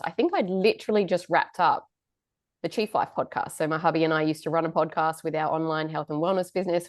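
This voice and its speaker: A woman's voice: lowish voice, no echo, studio quality. A woman in her thirties from England with a slightly Australian-tinged accent, co-hosting a podcast in candid, casual chat and swearing freely. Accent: Australian-tinged English. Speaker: podcast co-host.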